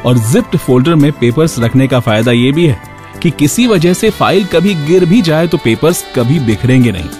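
A man's voice: on the high side, expressive, moderate pace, darkish tone. A man hosting a radio show, reading scripted narration. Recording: music behind the voice. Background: background music.

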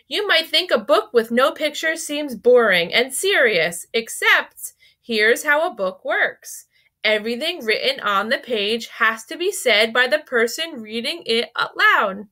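A woman with a fairly high, very bright voice presents scripted book recommendations for children. Her delivery is expressive and carefully enunciated, in the manner of someone used to reading aloud. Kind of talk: scripted promotional narration of children's book picks.

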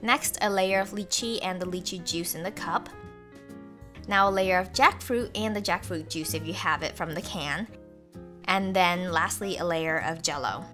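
A woman's voice: fairly low pitch, fairly bright tone. A young woman narrating a home recipe video, walking through each step cheerfully as the channel's host. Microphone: voice-over.

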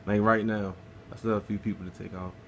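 A man's voice: fairly low pitch, flat delivery, fast talker, a little hiss or hum. A man casually talking through a game walkthrough, laid-back and swearing freely as he plays. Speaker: gameplay guide narrator.

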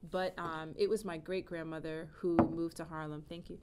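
A woman in her thirties, a stage actress and singer, chatting casually in an interview, low-voiced and often laughing. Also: normal speed, very noisy. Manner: laughing often, animated with hand gestures. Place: indoor room with wooden shelves.

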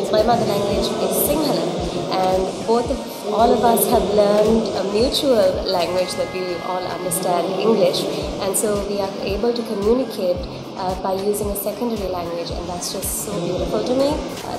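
A young woman from Sri Lanka with a Sri Lankan accent talks straight to a close camera in a casual vlog. She sounds earnest, reflective and upbeat. The room echoes, and loud music plays behind her. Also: mid-range voice. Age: young adult. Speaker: vlogger and TV presenter. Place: indoors in a decorated hall.

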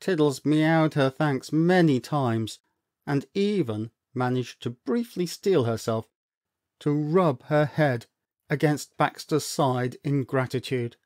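A man reads a children's story in a dramatic, deliberate way, with emphatic pauses and expressive phrasing. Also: a little high, no room sound, clean studio sound.

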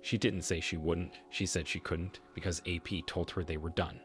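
A man reading reader-submitted stories aloud in a steady scripted narration, then giving his own reaction. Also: low voice, moderate pace. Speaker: story narrator. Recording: voice-over with soft music bed.

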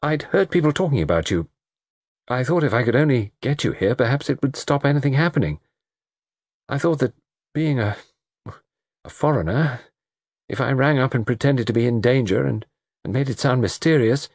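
A male audiobook reader voicing a frightened, impulsive young woman who speaks in breathless, emotional rushes. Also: mid-range voice, animated, darkish tone, no echo, clean studio sound, pitched above the performer's own voice. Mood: pleading. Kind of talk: audiobook character reading.

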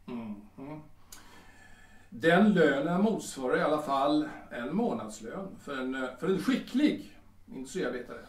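A man's voice: on the high side, very animated. A man acting a smug, lecherous café owner in a Swedish audio drama, oily and hesitant, often repeating himself. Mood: insinuating. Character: smug, lecherous male Viennese café owner, haggling and menacing. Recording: roomy, distant from the mic.

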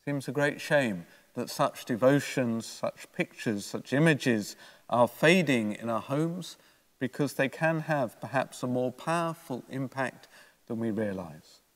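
Older priest in a reverberant church. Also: male voice, medium pitch, animated, measured pace. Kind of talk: homily, read from prepared notes. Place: church, at a lectern. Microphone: gooseneck lectern microphone.

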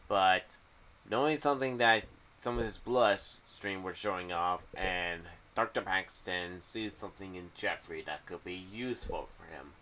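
A young man giving unscripted, rambling commentary in a halting, meandering way, speaking into a boom-arm microphone in a small room at home. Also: bright, forceful tone. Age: young adult. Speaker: video creator sharing fan theories. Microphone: boom-arm microphone with pop filter, headphones. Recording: clearly noisy home recording.

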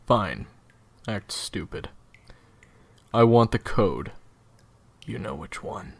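A man playing a cold, short-tempered assassin interrogator in an audio drama, husky, with clipped, deliberate threats. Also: lowish voice, lively intonation, exaggerated voice. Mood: demanding. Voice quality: husky, gravelly. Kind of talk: audio roleplay acting.

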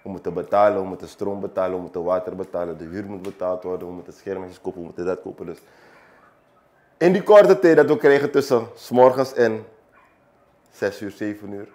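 A man in his thirties with a Surinamese accent, answering in an unscripted interview in a halting way, repeating phrases for emphasis. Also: low-pitched, expressive, a little mellow. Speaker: barber, small business owner interviewee. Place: small barbershop, indoors, seated. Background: background music.

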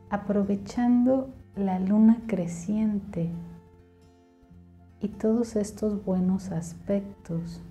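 A woman's voice: medium pitch, mellow. A woman leading a guided meditation in a soothing, instructional way.

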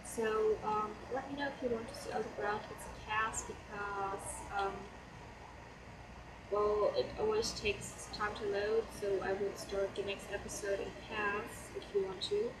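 A woman's voice: medium pitch, fairly even intonation, a little slow. A German teenage girl with a German accent and a slight cold, chatting casually and hesitantly over her gameplay. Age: teens. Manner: chatty, hesitant, many fillers. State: hoarse from a cold. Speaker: let's play host. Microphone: laptop mic. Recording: roomy, distant laptop mic with fan noise. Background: laptop fan noise.

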